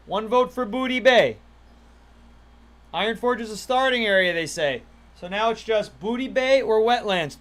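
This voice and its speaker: Male gamer on a live stream, chatty and jokey; a bit high-pitched, soft music underneath. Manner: chatty, playful, thanks viewers and runs mock auctions. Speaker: livestream gamer. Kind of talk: casual gaming livestream chat.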